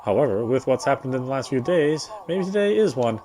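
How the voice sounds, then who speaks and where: A man's voice: medium pitch, animated, a little fast, dark tone, a little hiss or hum. A man reading visual novel narration aloud during a playthrough, sometimes stumbling and rereading a phrase. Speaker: gamer reading story text in a playthrough.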